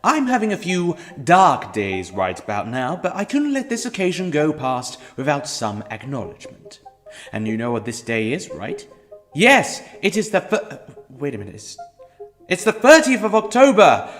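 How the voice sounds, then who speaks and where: A man playing a gleefully menacing storyteller who introduces dark tales in an audio drama, theatrically addressing the listener. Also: fairly high pitch. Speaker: narrator introducing horror stories.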